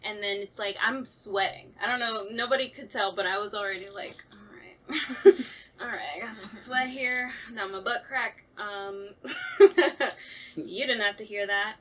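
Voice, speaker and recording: A woman's voice: medium pitch, measured pace, pushed and bright, slight background noise. A woman who acts in audio drama, chatting casually and self-deprecatingly on a talkback podcast. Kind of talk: casual chat.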